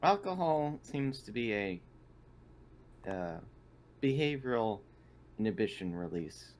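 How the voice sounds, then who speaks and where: A lowish voice for a polite, literal-minded android, played by a man in a tabletop roleplaying game. The phrasing is formal, wordy and clinical, with some background noise in the recording. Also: big pitch swings, slow pace, darkish tone. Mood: clinical, measured. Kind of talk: in-character tabletop roleplay over online game.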